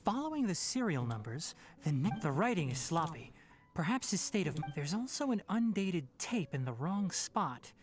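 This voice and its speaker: Calm, analytical game forest watcher, very low register, measured and serious. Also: female voice. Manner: measured, explanatory. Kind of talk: video game dialogue acting.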